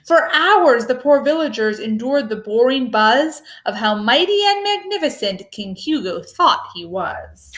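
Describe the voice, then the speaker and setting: High, very animated woman reading aloud. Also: a little slow, few pauses. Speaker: storybook reader. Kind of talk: animated rhyming picture-book read-aloud.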